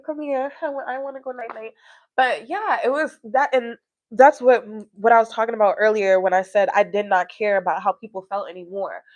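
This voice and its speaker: Woman rambling emphatically in casual livestream chat. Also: mid-range voice. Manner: rambling, emphatic, often repeats "you know" check-ins to listeners. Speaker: livestream host talking to viewers. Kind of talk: casual personal livestream chat, reflective and motivational.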